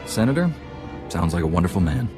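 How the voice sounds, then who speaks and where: A man playing a calm, noble young knight in a video game, measured and polite. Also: low voice, music in the background. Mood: polite, assured. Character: composed, noble young male Jedi knight. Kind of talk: video game dialogue acting.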